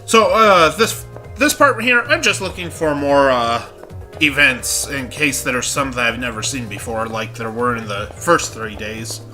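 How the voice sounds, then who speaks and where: A man's voice: medium pitch, a little slow, sharp tone, faint music behind. Congested man in joking game commentary. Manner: joking, offhand asides. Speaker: let's play host.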